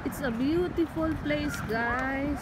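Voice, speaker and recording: A young woman with a high voice talks slowly as she walks viewers through a leafy park. Her phone picks up steady outdoor noise, and she sounds like a casual family vlogger playing tour guide. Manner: touring guide, points things out to viewers. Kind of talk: casual vlog narration while walking. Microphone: handheld phone camera.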